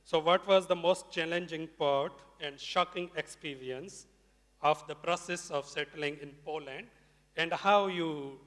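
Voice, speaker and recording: A man's voice: on the high side, unhurried, fairly bright tone. A man moderating a student panel in an informal way, inviting guests to speak and asking them questions. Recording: reverberant hall over microphone.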